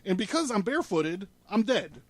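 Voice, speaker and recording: A man's voice: high voice, big pitch swings, moderate pace, fairly bright tone, no room sound. A man presenting a case in casual studio talk, in an informal, rambling and sweary way. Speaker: presenter laying out a conspiracy theory.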